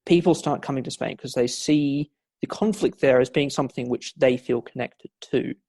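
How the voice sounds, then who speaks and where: A male historian talks through his subject in a relaxed podcast interview, leaning on fillers and restarting his sentences. His voice has a gravelly edge, sitting at a middle pitch for a man. The recording is close and dry, with no room sound. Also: normal speed, no echo. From not from Scotland, Scottish family. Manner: many fillers and restarts, explanatory. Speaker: historian guest. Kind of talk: conversational academic interview.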